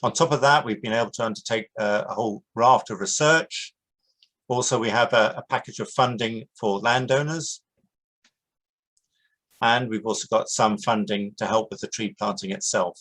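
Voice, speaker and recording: Male project director, measured talk, low-ish voice. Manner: steady, measured, with hesitations. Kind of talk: prepared online talk. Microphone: video call.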